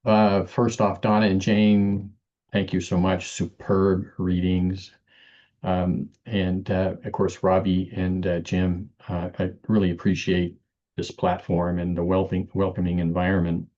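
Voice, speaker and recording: Low, mellow man on a dull video call. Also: monotone. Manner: casual, filler-heavy chat before a deliberate reading. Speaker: open mic poet. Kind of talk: poetry reading at an online event.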